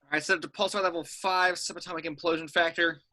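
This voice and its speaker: A man's voice: a little high, level tone, fast, sharp tone. A man playing a nonchalant veteran secret agent in a script read-through. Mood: businesslike.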